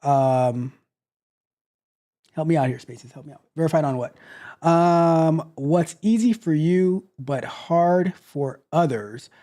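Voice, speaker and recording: A man in his thirties hosting a casual livestream from a home studio on a close boom mic, rambling, repeating himself and joking. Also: takes it slowly, warm tone. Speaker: host, tech coach. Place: home studio, seated in gaming chair. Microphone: boom mic close to mouth.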